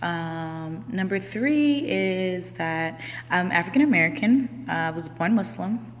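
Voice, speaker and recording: A woman's voice: fairly low pitch, expressive, unhurried, in a large hall. A woman, a life coach talking casually and loosely on a live stream, with many ums and restarts. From Houston, Texas.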